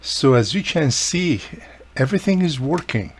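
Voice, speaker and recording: A man's voice: on the high side, lively intonation, normal speed, husky. A man giving a casual, hands-on repair walkthrough, explaining informally step by step as he works. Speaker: radio repair hobbyist presenting his own how-to video.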